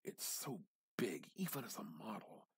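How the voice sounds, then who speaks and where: A man giving an amateur dramatic reading of literary fiction, theatrical and halting, with pauses and emphatic repetition. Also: lowish voice, very animated, creaky, breathy edge, no echo. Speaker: amateur reader.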